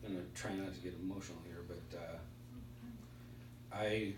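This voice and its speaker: A middle-aged man with a stutter gives a personal testimony at a recovery meeting, speaking in halting, spaced-out phrases with pauses. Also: deep voice, steady tone. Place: indoor meeting room. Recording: roomy, distant mic with background hiss.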